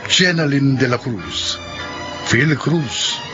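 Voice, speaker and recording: A man's voice: medium pitch, animated, takes it slowly. A man reading scripted closing narration as a radio drama announcer. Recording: dull lo-fi audio. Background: loud music.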